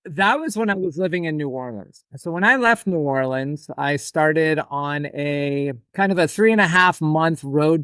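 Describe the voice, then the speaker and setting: A man in his forties from the United States speaks as a podcast guest, his voice fairly high for a man and notably smooth and resonant. He tells his story in a relaxed, meandering way. The recording is dry and close. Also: dry recording. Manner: relaxed, storytelling, some restarts. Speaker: author and farmer guest. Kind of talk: storytelling in an interview.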